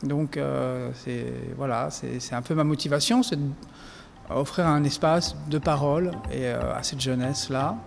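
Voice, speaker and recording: A man's voice: mid-range voice, fairly even intonation, unhurried, vocal fry, in a large hall, faint music behind. A man speaking as a documentary filmmaker giving reflective interview answers, hesitant, with many fillers and restarts.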